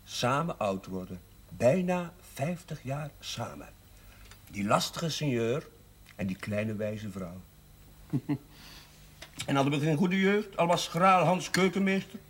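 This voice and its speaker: A man playing a cheerful, warm-hearted young priest who laughs easily and gets exuberant. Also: fairly high pitch, animated, takes it slowly, long pauses, slightly rough, heavily acted. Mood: joyful. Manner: exuberant, laughing, breaks into song. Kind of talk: 1970s television drama.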